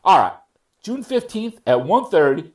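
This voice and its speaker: A man acts out a judge handing down a ruling in a courtroom reading. He is formal and stern but fair, admonishing in measured phrases. His voice sits a little high and rises and falls widely. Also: sing-song, a little mellow. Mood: businesslike. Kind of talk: readers theater reading of a court transcript.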